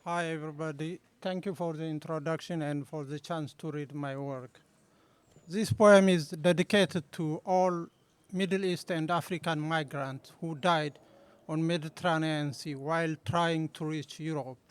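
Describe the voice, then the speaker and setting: A man with an Ethiopian accent, a native Amharic speaker, presenting his poetry slowly and deliberately at a live reading. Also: a little high, fairly even intonation, smooth. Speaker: poet reading own work.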